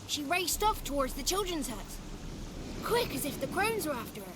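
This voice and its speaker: A woman's voice: high voice, sing-song, moderate pace, noisy background. A woman voicing a crude, playful little boy creature in a video game, speaking in a wordy, theatrical way. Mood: boastful storytelling. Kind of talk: video game character acting.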